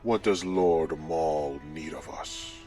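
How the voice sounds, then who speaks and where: A man playing a menacing, commanding Sith lord in a sci-fi audio drama, speaking in a measured, imperious way with a cold, taunting edge. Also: very deep voice, mellow. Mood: measured, ominous. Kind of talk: scripted sci-fi audio roleplay acting. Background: background music.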